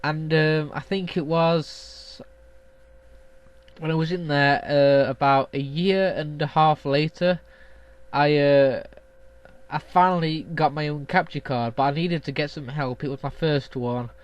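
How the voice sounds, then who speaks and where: A man's voice: a little high, steady tone, a little slow. A young man with a stuffy cold, chatting casually and rambling with lots of ums as a gaming vlogger. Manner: rambling, many ums and restarts. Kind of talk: casual chat.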